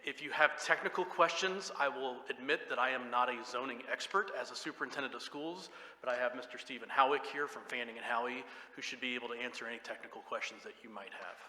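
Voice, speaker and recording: A man's voice: medium pitch, fast talker. A man with a big, carrying voice, presenting as a school superintendent at a public hearing. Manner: loud, carrying voice. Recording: echoey hall with long reverb.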